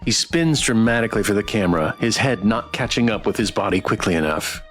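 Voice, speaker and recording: Animated man narrating a story game. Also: fairly low pitch, fairly quick, faint music behind. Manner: dramatic storyteller delivery. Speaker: streamer narrating a visual novel. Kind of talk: expressive read-aloud narration.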